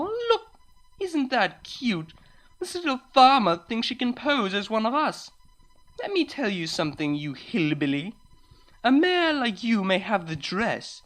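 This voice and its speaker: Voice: mid-range voice, voice pushed up, rough recording. A reader voicing a haughty, scornful upper-class unicorn mare in a story.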